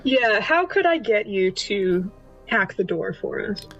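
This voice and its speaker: A woman's voice: mid-range voice, big pitch swings, unhurried, a bit bright. Dull lo-fi recording of a woman gaming. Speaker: tabletop game player. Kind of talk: casual playful game chat. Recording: dull lo-fi sound, music behind.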